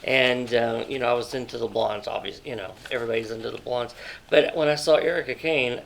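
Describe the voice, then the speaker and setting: A man in his thirties hosting an interview in a small studio, eager and chatty with quick agreements. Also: mid-range voice, steady tone, gravelly, fairly bright tone. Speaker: talk show host. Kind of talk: casual interview. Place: small studio set with couch.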